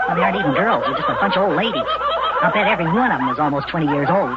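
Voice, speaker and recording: An actor voicing an excitable, whiny little elf boy with a small boyish voice in a children's radio serial. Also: medium pitch, rapid-fire, heavy background noise, lightly acted. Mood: indignant, grumbling. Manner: exclamatory, whiny when put out. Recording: muffled old radio recording with loud music.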